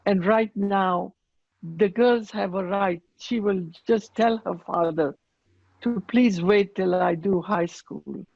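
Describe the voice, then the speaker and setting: A woman from Afghanistan, answering earnestly on a panel with many pauses and fillers, over a video call. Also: medium pitch, gravelly, no room sound. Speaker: panelist running girls' schools. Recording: dull lo-fi video call audio.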